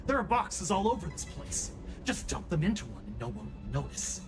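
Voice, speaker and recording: A man's voice: sits high, big pitch swings, a little fast, over music. A man playing a scheming, impatient villain henchman in a video game, contemptuous and commanding. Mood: scornful, curt.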